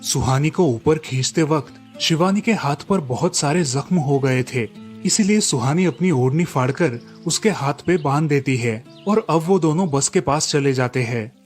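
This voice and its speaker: A man's voice: on the high side, lively intonation, moderate pace, soft background music. A man reading scripted narration for a cartoon moral story as its storyteller.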